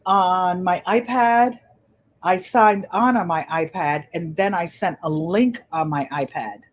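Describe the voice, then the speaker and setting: A woman in her seventies hosting a casual livestream, chatting in a gentle, pleasant voice and rambling as she often repeats her phrases. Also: fairly low pitch, slow pace. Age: 70s. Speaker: channel host.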